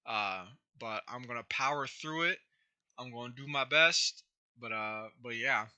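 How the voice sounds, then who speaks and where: A young man with a hoarse, scratchy throat, chatting casually with viewers on his livestream, rambling with lots of fillers and restarts. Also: mid-range voice, measured pace, bright, forceful tone. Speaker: YouTube creator hosting a livestream.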